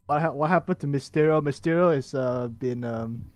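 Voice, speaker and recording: A man's voice: mid-range voice, normal speed, no echo, clean studio sound. A man chatting casually on a podcast with a playful, joking manner. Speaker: podcast co-founder and guest. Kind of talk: casual bantering chat.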